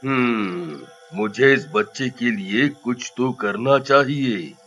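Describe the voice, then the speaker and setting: An actor playing a kindly ghost from a magic ring, deliberate and indulgent, in a children's story. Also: male voice, mid-range voice, a bit bright. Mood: pondering, kind.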